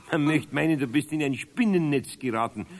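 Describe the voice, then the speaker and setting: A man playing a kindly, patient older master carpenter with a Bavarian accent in a children's radio play, good-natured and gently chiding. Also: on the high side, moderate pace, lightly acted. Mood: amused, gently chiding.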